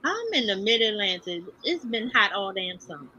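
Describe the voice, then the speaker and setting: A woman's voice: mid-range voice, very animated, moderate pace, bright, forceful tone, husky, highs cut off. A woman from Maryland co-hosting a livestream, chatting casually and repeating herself as she talks. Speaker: co-host of a livestream.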